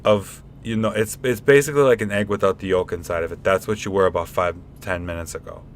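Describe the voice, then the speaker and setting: A man playing a deadpan, casual, slightly self-important guy who explains haltingly in a comic radio play. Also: sits low, a little hiss or hum. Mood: deadpan, lecturing.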